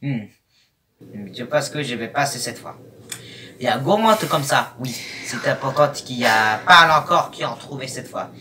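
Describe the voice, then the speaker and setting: A young man giving casual reaction commentary in a halting, rambling, disjointed way. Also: mid-range voice, animated, pushed and bright. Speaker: YouTube host. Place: small room at home, indoors. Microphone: webcam at arm's length or more. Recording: noisy webcam, distant from the mic.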